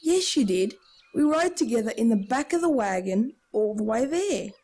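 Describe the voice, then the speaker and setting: A wry Australian schoolchild with a fairly high voice. The kid is sensible and gently teasing, in a nostalgic radio drama style. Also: female voice, lively intonation, moderate pace, breathy edge. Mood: matter-of-fact. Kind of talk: nostalgic radio play acting.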